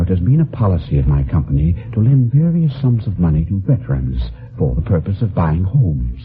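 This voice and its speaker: An actor playing a short, fat, falsely genial businessman in an old radio drama, with a purring voice and a wordy, formal manner. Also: low voice. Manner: unctuous, wordy, formal, with hesitations and chuckles. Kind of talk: old-time radio detective drama acting. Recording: muffled, band-limited old radio recording.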